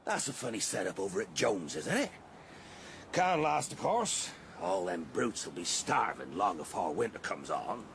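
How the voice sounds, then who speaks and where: A man's voice: on the low side, big pitch swings, normal speed, gravelly, a bit bright, slight background noise, heavily acted. A man playing a blustering, suspicious farmer with a rural English accent in a radio drama. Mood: grumbling. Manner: rustic, emphatic, grumbling.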